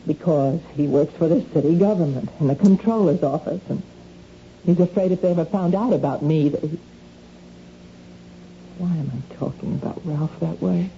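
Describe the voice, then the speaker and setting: A woman's voice: low voice, moderate pace, gravelly, mellow. An actress playing an anxious, defensive married woman who shoplifts, pleading and evasive in a radio drama. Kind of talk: 1970s radio drama acting. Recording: muffled, noisy old radio recording.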